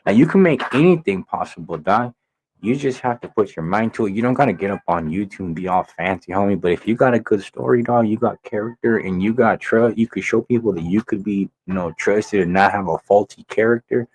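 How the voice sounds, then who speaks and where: Low-pitched man talking slangy street commentary. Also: brisk, husky, low-quality recording. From Inland Empire, California. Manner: slangy, emphatic street talk, swearing. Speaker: reaction channel host. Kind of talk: casual reaction commentary.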